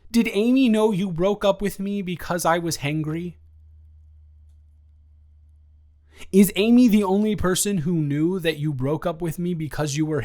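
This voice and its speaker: A young man playing an awkward, eager, rambling ex-boyfriend in an improvised romantic roleplay audio, stammering and halting as he talks. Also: high-pitched, close to an everyday voice. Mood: flustered, earnest.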